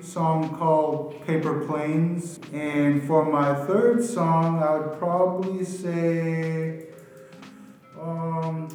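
A man speaks slowly and deliberately, like someone carefully telling a simple story. The room rings heavily around him and he sits away from the mic, with music clearly playing behind. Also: on the high side, darkish tone. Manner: unhurried, deliberate. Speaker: guest storyteller. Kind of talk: casual answers and slow, simple storytelling. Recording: roomy, distant, music behind.

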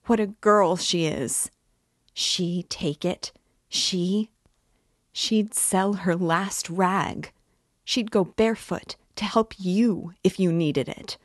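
A woman reading an audiobook voices a frantic, desperate widow who cries out and pleads in a rising, shrill voice. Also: no room sound, clean studio sound. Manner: crying out, pleading, rising into shrieks. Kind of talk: audiobook character voice.

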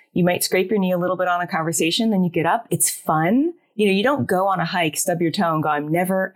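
Playful woman co-host, lowish voice. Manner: lively, playful. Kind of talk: casual chat.

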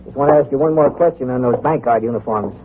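Man as brisk police chief, noisy old radio. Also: mid-range voice, phone-quality sound, close to an everyday voice. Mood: brisk, commanding. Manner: clipped, businesslike. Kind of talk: old-time radio crime drama acting.

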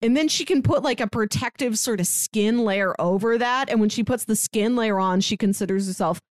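A woman chatting casually as a podcast co-host, retelling a story in an animated way and acting out quotes. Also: on the high side, normal speed, dry recording, clean studio sound. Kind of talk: casual chat recapping a manga plot.

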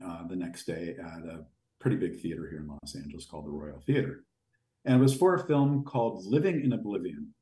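Deep-voiced man telling rambling stories. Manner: rambling, animated anecdotes with fillers. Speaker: podcast guest. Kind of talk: casual storytelling in an interview. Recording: echoey room.

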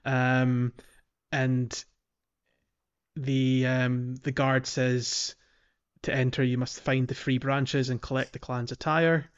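A man's voice: medium pitch, flat delivery, slow, clean tone, muffled recording. A man co-hosting a casual gaming podcast, explaining things in a hesitant way and checking for agreement. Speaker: podcast co-host.

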